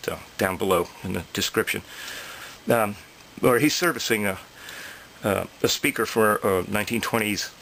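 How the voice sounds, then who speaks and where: A man giving an informal, unscripted technical explanation, haltingly thinking aloud and repeating points for emphasis. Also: lowish voice, vocal fry, fairly bright tone, slight background noise, rough recording. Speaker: hobbyist presenter explaining electronics.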